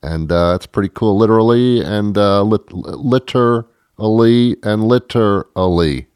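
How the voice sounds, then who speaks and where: A man hosting a casual radio interview, friendly, quick to laugh, and full of short acknowledgements. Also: fairly low pitch, darkish tone, clear, resonant tone, no echo, clean studio sound. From Boston area. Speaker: radio show host.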